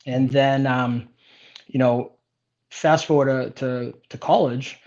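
A man's voice: medium pitch, steady tone, takes it slowly, darkish tone. Casual man from Portugal, slightly dull audio. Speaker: interview guest, business owner. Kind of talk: casual chat, personal storytelling.

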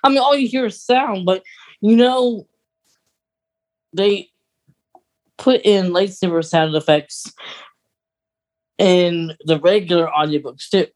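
A podcast co-host with a medium-pitched voice. The delivery is casual and conversational, like a fan talking things over with a friend. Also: slow, long pauses, a little mellow, poor audio.

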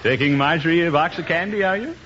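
An actor playing a jovial, blustering middle-aged uncle who chuckles and protests in 1950s radio comedy style. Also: male voice, a little high, quick pace, a bit bright, lightly acted. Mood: genial. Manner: chuckling, exclaiming, self-justifying. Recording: noisy, muffled 1950s radio recording.

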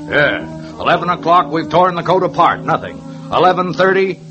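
An actor playing a tired, wisecracking police partner who rattles off case facts in a 1950s radio drama. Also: male voice, fairly high pitch, lively intonation, normal speed, dull audio, close to an everyday voice. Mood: exasperated. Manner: weary, sardonic, rattles off facts. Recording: radio drama with music bed.

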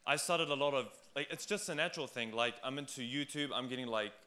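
A man's voice: medium pitch, fast, pushed and bright. A New Zealand man in his twenties asking a question from the audience in an eager, informal, sweary way. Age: 20s. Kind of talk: casual live question.

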